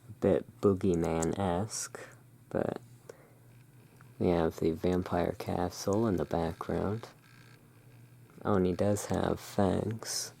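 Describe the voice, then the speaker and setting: A hushed, soothing and unhurried voice in an ASMR roleplay, playing the owner of a gothic curiosity shop. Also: sits low, fairly even intonation, gravelly, slightly rough. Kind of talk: ASMR roleplay, showing tarot cards.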